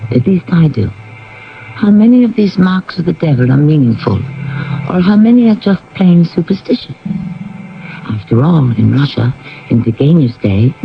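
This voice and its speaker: A man hosting a 1970s radio mystery show with theatrical, deliberate scripted narration. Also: medium pitch, highs cut off. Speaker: radio drama host. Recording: band-limited 1970s radio broadcast with music.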